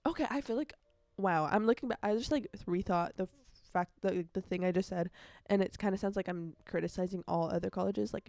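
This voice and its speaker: A woman's voice: medium pitch, a little mellow, no room sound. A nineteen-year-old woman chatting casually on a solo podcast, rambling and correcting herself, with youthful slang and emphatic repetition. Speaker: podcast host.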